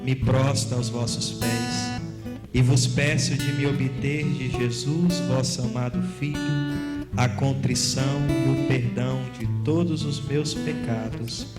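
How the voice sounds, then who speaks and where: A man's voice: medium pitch, steady tone, a little slow. A Brazilian man in his thirties, speaking with a Brazilian Portuguese accent, prays and preaches with fervent, earnest delivery. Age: 30s. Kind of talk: prayer and live sermon. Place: large hall at a religious gathering. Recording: echoey hall, loud guitar behind. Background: loud guitar music.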